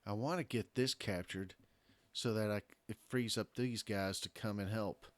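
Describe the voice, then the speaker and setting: Hoarse man thinking aloud over gameplay. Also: on the low side, fairly even intonation, moderate pace, no room sound. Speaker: gameplay commentator. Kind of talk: casual let's-play commentary.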